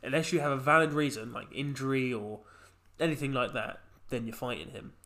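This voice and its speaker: A man's voice: medium pitch, brisk, pushed and bright. A young man hosting a casual podcast chat while he has a cold, talking in a chatty way. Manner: chatty, reads out quotes in a punchy voice. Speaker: podcast host.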